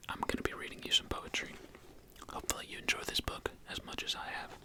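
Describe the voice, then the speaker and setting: Male ASMR host, fully whispered delivery, gentle and unhurried. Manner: soft, unhurried whisper with casual phrasing. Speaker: host of an ASMR video channel.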